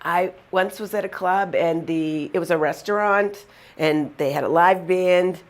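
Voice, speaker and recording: A woman's voice: fairly low pitch, unhurried. A woman with a Boston accent telling funny personal stories to the camera in a chatty, amused way. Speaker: vlogger. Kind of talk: casual storytelling to camera.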